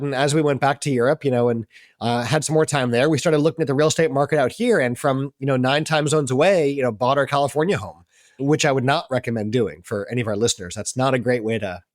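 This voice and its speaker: A man's voice: mid-range voice, no echo, studio quality. A middle-aged American man from the Boston area, telling stories conversationally as a podcast guest in an informal, chatty way. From Boston area, US East Coast. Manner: chatty, informal, talks fast. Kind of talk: conversational interview storytelling.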